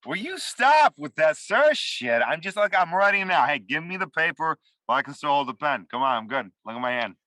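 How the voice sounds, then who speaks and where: A man's voice: a little high, very animated, brisk, hardly any pauses, sharp tone, dry recording. A man playing a drunk, drug-addled boss in a comedy table read, rambling, crude and erratic, swinging between moods. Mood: boisterous. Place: remote, over a video call. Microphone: video call.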